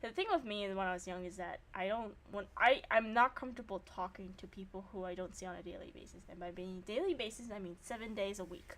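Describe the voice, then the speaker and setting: A woman's voice: mid-range voice, quick pace, hardly any pauses. A young woman streamer giving casual game commentary and reading narration aloud, self-deprecating and free with swearing.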